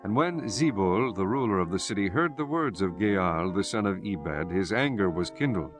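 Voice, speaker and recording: A man narrating a dramatized Bible audiobook in measured, solemn storytelling with archaic diction. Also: low voice, expressive. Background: prominent music.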